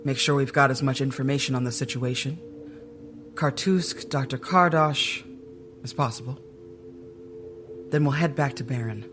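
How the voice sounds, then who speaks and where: A man's voice: medium pitch, normal speed, pauses often, music in the background, lightly acted. An actor playing a resolute, guarded male government agent in an audio drama, firm and businesslike.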